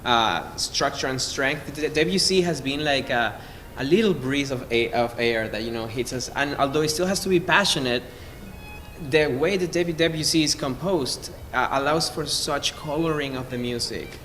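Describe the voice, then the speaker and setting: A man's voice: medium pitch, a bit bright. Man in a noisy, reverberant hall. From Venezuela. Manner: effusive, halting, searching for words. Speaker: cellist in a string quartet. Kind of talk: informal spoken introduction to music.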